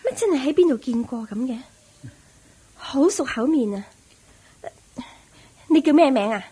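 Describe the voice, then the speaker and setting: An actress playing a suspicious, troubled mistress of the house in a Cantonese radio drama, questioning theatrically with a Hong Kong accent. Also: female voice, high voice, expressive, unhurried, dark tone, slightly rough, exaggerated voice. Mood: puzzled.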